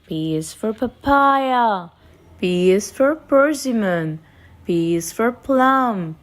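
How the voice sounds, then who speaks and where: A woman reading aloud for preschool children in a deliberate way, pausing between short phrases. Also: a little high, animated.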